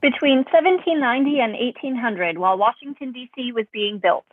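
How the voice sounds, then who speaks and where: A woman's voice: a little high, a little fast. A woman playing a polite, literal robot assistant in a science fiction drama reading, speaking formally and precisely through a thin phone-like sound. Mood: factual, formal.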